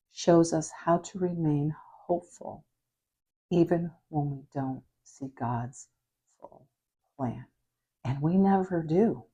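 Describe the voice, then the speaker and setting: Hoarse woman preacher, low mellow voice, unhurried earnest delivery, raspy from recent illness. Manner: slow, earnest, drops softly at phrase ends; prayerful, reflective. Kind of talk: devotional message.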